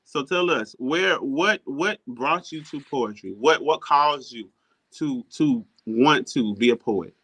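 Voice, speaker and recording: A man's voice: medium pitch, animated, slow, fairly bright tone, rough recording. A man hosting a casual conversational podcast interview, affirming and restating what is said. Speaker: podcast host.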